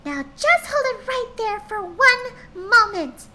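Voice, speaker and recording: A woman voicing a gentle female pony who scolds like a stern mother. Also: very high-pitched, very animated, takes it slowly, very noisy, pitched above the performer's own voice, full character voice. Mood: scolding.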